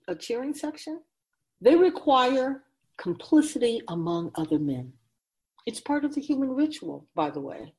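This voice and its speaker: A woman playing an otherworldly feminine spirit in a radio drama, speaking in a deliberate, emphatic, oratorical way, grave and candid. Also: a little high, a little mellow. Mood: grave, assured. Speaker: spirit guide being interviewed. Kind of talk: radio drama monologue, reflective.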